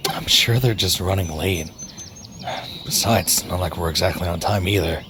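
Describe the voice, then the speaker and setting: A man's voice: low-pitched, moderate pace, background noise, natural voice. A man playing a protective, laid-back young boyfriend in a game cutscene, with a casual, dry delivery. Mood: relaxed. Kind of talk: fan game cutscene voice acting. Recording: loud music over the voice.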